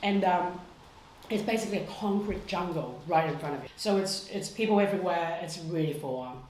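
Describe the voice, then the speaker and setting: A woman's voice: on the low side, a little fast, a little mellow, roomy sound, a little hiss or hum, poor audio. A woman in her thirties from Hong Kong, a podcast guest chatting bluntly at home, swearing freely and laughing often. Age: 30s. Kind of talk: casual chat.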